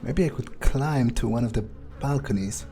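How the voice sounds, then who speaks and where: A man's voice: medium pitch, normal speed, warm tone, lightly acted. A man playing a jaded, foul-mouthed vampire who mutters wry remarks to himself during a video game playthrough. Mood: wry musing. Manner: muttering, wry, swearing often. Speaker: gaming video creator playing in character. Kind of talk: in-character game playthrough monologue.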